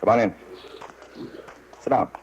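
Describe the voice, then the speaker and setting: A man's voice: a little slow, a little hiss or hum, close to an everyday voice. An American man playing a curt, demanding police captain in a 1950s radio crime drama. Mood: brisk, demanding. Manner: curt, commanding. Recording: dull, muffled vintage radio recording.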